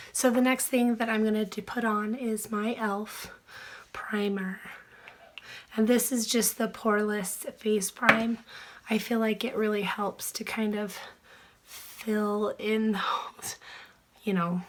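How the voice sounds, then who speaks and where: A woman who is sick and losing her voice, chatting softly through a makeup tutorial, often dropping into a whisper. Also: medium pitch. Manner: soft, chatty, trailing into whispers. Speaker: beauty vlogger.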